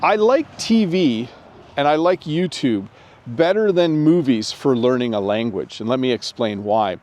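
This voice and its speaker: A man's voice: mid-range voice, expressive, a little slow, a little mellow. A man who speaks English natively, explaining step by step in an instructional video as a teacher, summing up as he goes. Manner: clear step-by-step explaining with repeated summaries. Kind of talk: instructional talk to camera.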